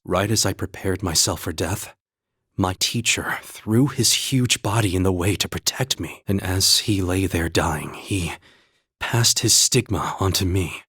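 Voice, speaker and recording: A man playing an earnest young holy knight in a video game, with a husky voice and a measured, formal, noble delivery. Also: sits low, fairly bright tone, no echo, clean studio sound. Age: young adult.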